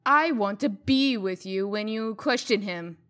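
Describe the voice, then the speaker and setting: A woman's voice: medium pitch, measured pace, a bit bright, close to an everyday voice. A woman playing a stern, composed government official in a fairy-tale comic dub, formal and insistent. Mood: insistent, serious. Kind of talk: dramatic comic dub acting.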